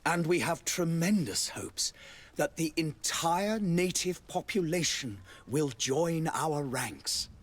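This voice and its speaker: A suave religious ambassador in a fantasy video game. His voice sits fairly high for a man and swings widely in melody, with a husky grain. He is courtly, florid and pompous, laying on the diplomacy with unctuous care. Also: animated, slow. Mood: smooth, proud. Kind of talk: video game dialogue acting.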